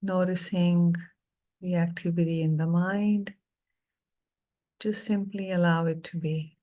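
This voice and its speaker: A middle-aged woman leading a guided meditation, speaking softly and soothingly with long pauses between phrases. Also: lowish voice, level tone.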